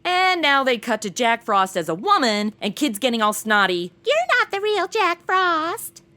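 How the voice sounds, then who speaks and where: Young, sassy modern gal, played by a woman, high voice, huge pitch swings, casual and irreverent comic delivery. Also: sing-song, moderate pace, pushed and bright, exaggerated voice. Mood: joking. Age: young adult. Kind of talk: comedic audio drama, modern casual speech.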